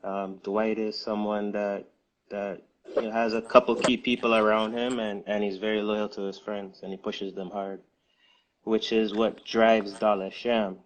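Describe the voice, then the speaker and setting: A man with a lowish voice talks through his story in an unhurried interview. He pauses often, restarts his sentences, and fills the gaps with "um" and "you know.". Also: flat delivery, rough recording. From United States. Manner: unhurried, hesitant with many fillers and restarts. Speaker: guest, music distribution co-founder. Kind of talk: casual interview answers.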